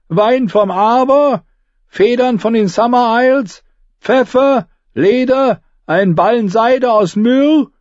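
A man's voice: very high-pitched, a little mellow, no room sound, lo-fi sound, pitched above the performer's own voice. A man voicing a boastful merchant ship captain in an audiobook. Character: loud merchant ship captain hawking his cargo. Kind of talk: audiobook character voice.

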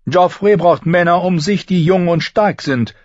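A man's voice: sits high, a little slow, no room sound, muffled recording, pitched above the performer's own voice. A man voicing a haughty, commanding queen in an audiobook reading. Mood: imperious. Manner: imperious, cutting.

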